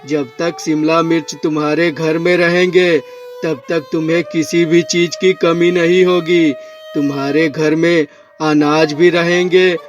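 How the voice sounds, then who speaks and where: A man's voice: a little high, level tone, a little slow, soft background music, voice pushed down, natural voice. A man voicing a kindly magical capsicum plant in a cartoon moral story, speaking in a solemn, reassuring way. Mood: solemn, generous.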